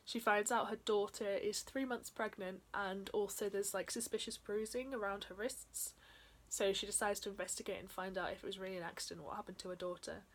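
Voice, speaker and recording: A young woman in her twenties, slightly croaky after being ill, chatting casually about books from a small bedroom, with frequent ums and soft trailing endings. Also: mid-range voice, fairly even intonation, brisk. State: slightly croaky from a chest infection. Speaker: book vlogger. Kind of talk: casual book haul chat.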